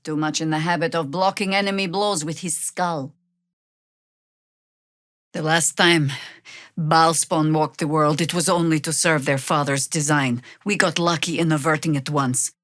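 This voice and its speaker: A weathered old female warrior druid from a fantasy game, speaking on the low side and without any hurry. She is stern and wry, with measured, commanding authority, in a very dry, close recording. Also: fairly even intonation, fairly bright tone, no echo. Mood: grave, measured. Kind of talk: dramatic video game voice acting.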